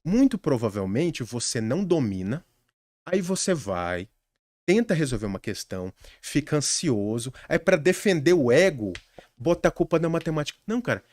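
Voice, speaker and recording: Young man, Brazilian accent, lively swinging pitch, dry close sound, earnest podcast guest. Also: normal speed, dry recording. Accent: Brazilian Portuguese. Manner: earnest, animated advice-giving with frequent restarts. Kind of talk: casual podcast conversation.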